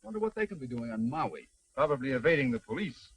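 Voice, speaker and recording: An actor playing a hearty, genial middle-aged gentleman in a 1930s film. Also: mid-range voice, very animated, quick pace, husky, rough recording. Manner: hearty, upper-class, exclamatory.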